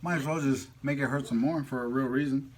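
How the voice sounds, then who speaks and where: A man's voice: medium pitch, fairly even intonation, fairly quick. A man hosting a casual mukbang vlog, chatting in a jokey, slangy, playful way while eating.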